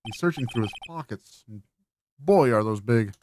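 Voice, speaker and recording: A man's voice: medium pitch, lively intonation, moderate pace, darkish tone, slight background noise, voice pushed down, close to an everyday voice. Man reading earnest, wry young defense lawyer. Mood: wry, musing. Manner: dry inner asides, flustered stammers when startled.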